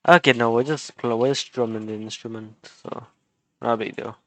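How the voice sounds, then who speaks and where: A man's voice: medium pitch, fairly even intonation, moderate pace, slight background noise. A man livestreaming a video game, chatting casually to himself and his viewers in a rambling way, often repeating phrases. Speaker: game live streamer. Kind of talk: casual livestream chat while gaming. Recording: loud game music behind voice. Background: video game music and instrument notes.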